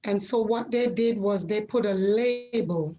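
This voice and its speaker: A middle-aged woman from Jamaica, a guest sharing her story in a measured, reflective way with pauses. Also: mid-range voice, dark tone, lo-fi sound. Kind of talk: conversational interview.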